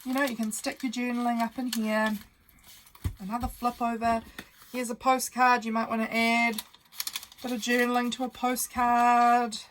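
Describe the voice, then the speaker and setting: A woman's voice: fairly high pitch, little pitch movement, moderate pace, fairly bright tone, a little hiss or hum. A young woman who sounds nasal from a cold, hosting a casual, meandering craft tutorial full of fillers while she works at her desk.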